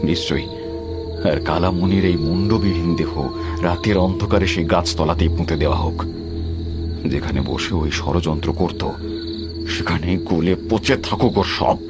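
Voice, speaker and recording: An actor playing an anguished, vengeful young husband and landlord's son in a melodramatic cartoon. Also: male voice, deep voice, normal speed, over loud music, close to an everyday voice. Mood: cold, vindictive. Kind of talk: melodramatic Bengali cartoon acting.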